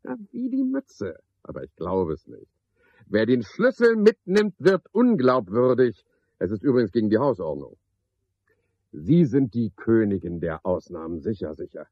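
A garrulous male porter in a 1970s radio drama, full of mocking asides and self-importance. His lowish voice is husky and rises and falls in animated sweeps. The recording is a little dull and lo-fi. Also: normal speed, dry recording, heavily acted. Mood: teasing, flirtatious. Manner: rambling, pompous monologue with mocking asides. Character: garrulous, pompous, sardonic male porter at a boarding house. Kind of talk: 1970s German radio play acting.

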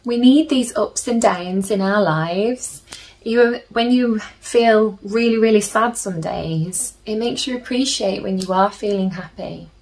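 A woman in her thirties reading a picture book aloud to children in a gentle, deliberate manner, with chatty asides. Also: medium pitch, low-quality recording. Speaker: children's story reader. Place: small plain room indoors.

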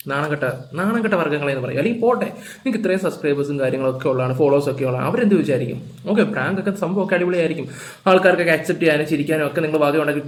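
A man giving an informal, indignant rant, lecturing with rhetorical questions. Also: on the high side. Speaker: reaction video commentator. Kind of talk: informal ranting commentary. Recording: echoey room.

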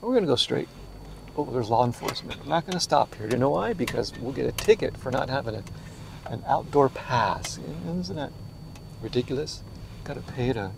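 A man's voice: medium pitch, gravelly. A man vlogging a road trip, chatting casually as he drives a mountain road and then walks his dog outdoors. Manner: relaxed, rambling commentary with fillers. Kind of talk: casual narration while driving and walking. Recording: noisy car and outdoor recording. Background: car and road noise.

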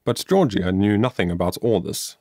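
A man's voice: lowish voice, moderate pace, mellow, dry recording, clean studio sound. A man narrating a children's moral story as an audiobook, reading earnestly and expressively from a script.

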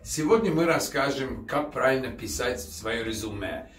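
A man in his fifties hosting a casual advice vlog, speaking straight to the camera in a restaurant room with a lively, direct manner. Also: mid-range voice, moderate pace, distant mic. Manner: lively, direct, talks with his hands while holding a glass. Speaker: video host. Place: indoors in a decorated restaurant room. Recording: roomy, a little off-mic, music underneath.

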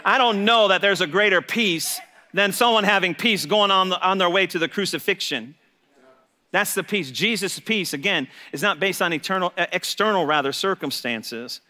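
An American man preaches in a fairly high, bright and forceful voice. He is a pastor delivering a sermon, leaning on key words and repeating phrases for emphasis. The sound rings with the long reverb of a hall. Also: moderate pace.

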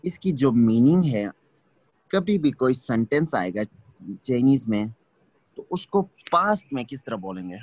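A man teaching an online language class, explaining slowly and checking that students follow. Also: medium pitch, very animated, darkish tone, a little hiss or hum. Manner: patient, explains slowly, checks understanding often. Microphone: video call.

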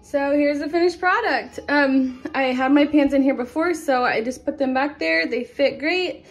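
A woman's voice: sits high, normal speed. Chatty woman filming her kitchen. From Nebraska, USA. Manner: chatty, rambling, many "um"s and restarts. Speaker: farm vlogger. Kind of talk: casual DIY vlog narration. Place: home kitchen, indoors. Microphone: handheld phone camera.

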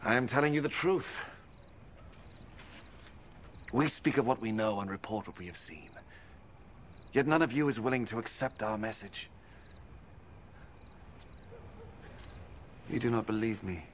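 A man acting as an earnest holy teacher in a biblical film, with a deep voice. He declares his teachings with quiet authority. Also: moderate pace, long pauses, a little hiss or hum, close to an everyday voice. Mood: fervent.

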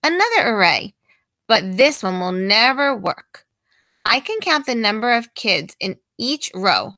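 A fussy, excitable storybook schoolteacher voiced by a woman reader, bright and high-pitched. She exclaims emphatically and swings widely in pitch as she spells things out for her pupils. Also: unhurried, no room sound, heavily acted. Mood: excited, then dismayed.

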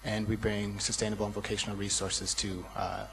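A man's voice: on the low side, level tone, fairly quick, vocal fry, fairly bright tone. A man from the audience politely asking the panel a question, with hesitant fillers. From United States. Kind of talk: question from the floor. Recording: reverberant hall with faint hum.

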